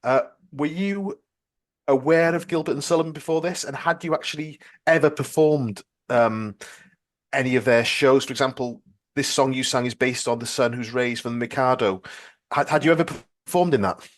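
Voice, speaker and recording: A man's voice: medium pitch, normal speed. A man interviewing casually as a podcast host, hesitant and self-deprecating in his delivery.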